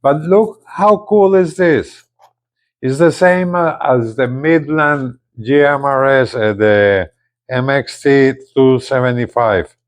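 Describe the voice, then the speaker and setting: A man presenting a casual unboxing video, speaking haltingly and often repeating his words. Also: mid-range voice. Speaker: gadget reviewer, video host.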